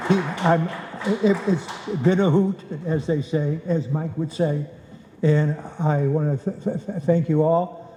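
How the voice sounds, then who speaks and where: An older man gives informal thanks at a live event, stumbling and repeating words. His voice is slightly high-pitched, with echo from the hall and faint room noise around it. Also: fairly even intonation, warm tone. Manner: halting, stammering repeats. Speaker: honoree from an amateur radio drama club.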